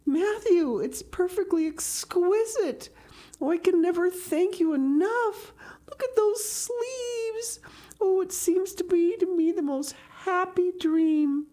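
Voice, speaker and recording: An excitable young storybook girl, acted out by a woman. Her voice sits high and lifts with each gushing exclamation. She is earnest and dramatic, pouring out her feelings in rapture. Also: slow. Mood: rapturous.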